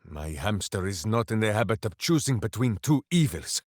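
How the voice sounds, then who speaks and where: A man's voice: on the low side, big pitch swings, moderate pace, darkish tone, no room sound, clean studio sound. A man playing a dim, big-hearted warrior hero in a video game, boisterous and theatrical, speaking of himself in the third person. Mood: earnest, simple-hearted. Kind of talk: video game character voice lines.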